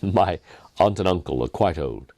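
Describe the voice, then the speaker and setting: A kindly, earnest miner in a storybook tale, played by a male reader. His low voice swings widely as he stresses his words. The phrasing is deliberate and the sound is dry. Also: expressive, darkish tone, dry recording. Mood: wry amusement. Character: kindly, earnest, wry Outback miner of gentle faith.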